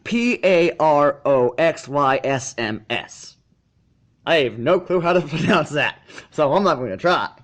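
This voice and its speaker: A man's voice: fairly high pitch, lively intonation, measured pace. A man reading a story aloud as an amateur narrator, sometimes stumbling and correcting himself. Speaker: fan fiction reader.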